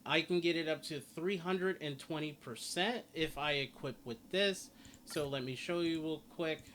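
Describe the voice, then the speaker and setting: A man's voice: fairly high pitch, normal speed, a bit bright. A man giving a casual, rambling video game guide, often repeating and rephrasing himself. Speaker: gaming video creator. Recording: noticeable background noise.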